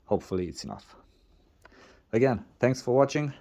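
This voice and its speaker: A man whose first language is not English, narrating a casual workshop vlog in a halting way with many restarts and fillers. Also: medium pitch, lively intonation, dark tone, slight background noise. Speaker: DIY video maker.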